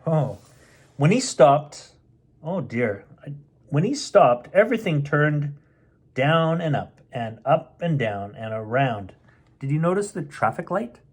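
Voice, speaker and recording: Guy reading a kids bedtime story, kinda high voice, super animated pitch, playful and chatty. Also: takes it slowly, long pauses, slightly rough. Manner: playful, chatty, child-directed. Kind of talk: reading a picture book aloud with casual asides.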